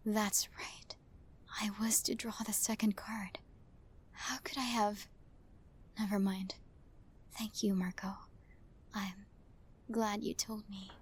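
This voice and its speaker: Woman acting a slow-spoken, possessive lovestruck mob boss lady. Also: mid-range voice, a little mellow. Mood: curt, distant. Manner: breathy, deliberate, tender and formal. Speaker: voice actor in romantic audio roleplay. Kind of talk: intimate ASMR roleplay acting.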